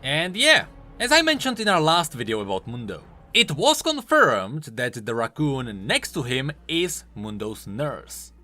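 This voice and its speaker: A man's voice: mid-range voice, sing-song, measured pace, bright, forceful tone. A man hosting a scripted game lore commentary, with an emphatic, swooping delivery. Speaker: host of a game lore video channel. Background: background music.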